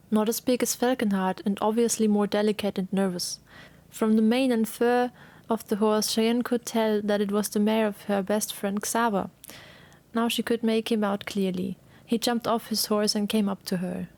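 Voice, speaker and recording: A woman narrating a scripted dramatic story in a measured, solemn way. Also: mid-range voice, a little mellow.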